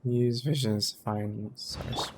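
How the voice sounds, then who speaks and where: A man's voice: medium pitch, fairly even intonation, slow pace. A man streaming a game, commenting casually and muttering offhand to himself. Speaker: gaming streamer. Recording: faint background noise.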